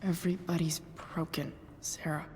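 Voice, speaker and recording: A woman playing a rebellious, grieving teenage girl in a game, speaking haltingly and earnestly, often hushed. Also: lowish voice, level tone, in a large hall, slight background noise, natural voice. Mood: sad, hushed. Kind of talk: naturalistic dramatic game acting.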